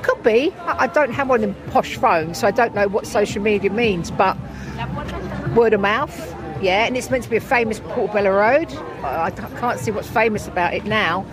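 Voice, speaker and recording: A woman's voice: medium pitch, normal speed. Older London woman on a busy street. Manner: plain-spoken, colloquial. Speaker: greengrocer, interviewee. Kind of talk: spontaneous street interview. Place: outdoor city market street. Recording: busy outdoor street with crowd, traffic.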